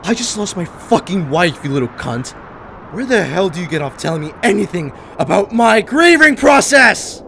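A man's voice: high-pitched, big pitch swings, normal speed, gravelly, a little hiss or hum, exaggerated voice. A man playing a bitter, grieving widowed survivor in a comic dub drama, with an emotional delivery. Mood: enraged. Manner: embittered, emotional. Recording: loud outdoor ambience behind voice.

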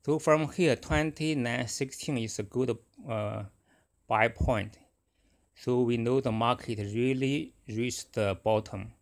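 Gravelly-voiced middle-aged man, halting speech. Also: mid-range voice. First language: Chinese. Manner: halting, many fillers and restarts. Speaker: forex trader. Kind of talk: casual screen-recorded trading talk.

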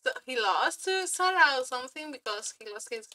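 A woman giving a casual live reaction as a streamer, excitable and giggly, swearing freely like a fangirl. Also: high-pitched, fairly quick. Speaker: streamer reacting to game character demo videos. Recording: music behind the voice.

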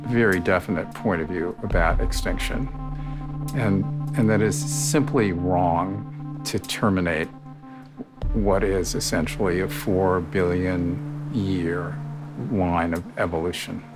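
Older male scientist, very deep voice, emphatic, over a loud score. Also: steady tone, slow, loud music behind the voice. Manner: emphatic, reflective, building to strong points. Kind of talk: documentary interview.

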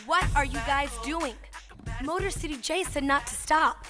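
A calm, easygoing cartoon tween girl with a gentle way of talking, as a woman acts her out. Her voice sits high, with music in the background. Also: moderate pace, close to an everyday voice. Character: calm, mellow tween girl, yoga-minded.

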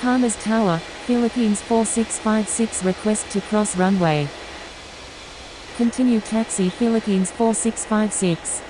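A woman's voice: medium pitch, unhurried, lightly acted. A woman playing a matter-of-fact airport radio voice in a simulation game, speaking clipped, formulaic aviation phrases. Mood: businesslike. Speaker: air traffic control and pilot radio voice. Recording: hissy, clearly noisy radio-style audio.

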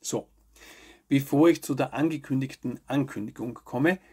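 A man, presenting scripted news commentary to camera in a measured, articulate way. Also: mid-range voice, darkish tone, breathy edge. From Austria. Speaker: host of a weekly news video show.

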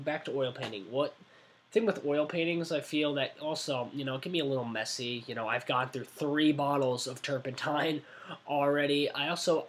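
A man's voice: mid-range voice, a little hiss or hum. A nineteen-year-old man chats loosely into a desk webcam in a small indoor room. He rambles, restarts his sentences and leans on fillers as he talks. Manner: rambling, self-correcting, many fillers. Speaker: art vlogger. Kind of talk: casual talk to webcam.

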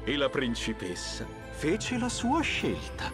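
An actor voicing a sentimental, theatrical bird who tells fairy tales in a cartoon with drawn-out, emphatic delivery. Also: male voice, a little high, huge pitch swings, music in the background, exaggerated voice. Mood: lyrical, dramatic. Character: theatrical, sentimental wading bird storyteller with grand airs. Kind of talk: dramatic fairy-tale storytelling for a cartoon.

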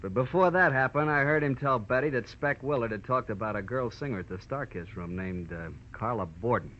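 A man's voice: medium pitch, brisk, lightly acted. A man playing a brash, sarcastic, slangy newspaper crime reporter with an American accent in a 1940s radio drama. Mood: brisk, explaining. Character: cocky, fast-talking male newspaper crime reporter. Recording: muffled, noisy old-time radio recording.

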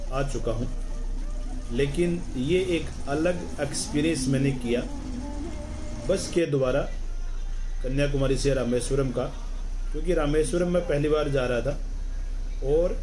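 A man's voice: a little high, noisy background. A man from Rajasthan narrating a travel vlog voice-over, enthusiastic and explaining things at length with many repeats. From Rajasthan, India. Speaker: travel vlogger.

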